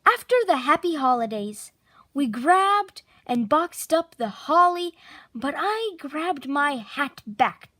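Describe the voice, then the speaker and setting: High, animated woman reading a storybook. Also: no room sound. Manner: theatrical, drawn-out emphasis on key words. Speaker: picture book narrator. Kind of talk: expressive children's storybook read-aloud.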